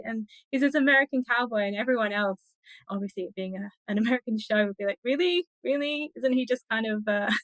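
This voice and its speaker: A woman with a bright, fairly high voice talks as an expert guest in an interview. She explains her ideas thoughtfully and with enthusiasm, in conversational style. The sound is very dry, with no room on it. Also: no room sound, low-quality recording.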